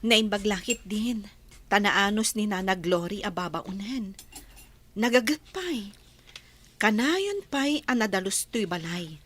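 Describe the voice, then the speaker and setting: A woman's voice: mid-range voice, lively intonation, a little slow, fairly bright tone. A woman playing a kindly, helpful young wife in an Ilocano radio drama. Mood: pleasant.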